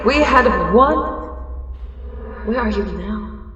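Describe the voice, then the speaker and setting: A woman playing an anguished, obsessive, lonely soldier in a fantasy audio drama, with a haunted, drawn-out delivery and echoing reverb. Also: on the high side, expressive, a bit bright, lo-fi sound. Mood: anguished, pleading. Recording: distant, echoing reverb with music. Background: background music.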